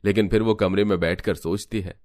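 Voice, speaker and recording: Guy with a low voice narrating a cartoon story, steady and plain. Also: fairly quick.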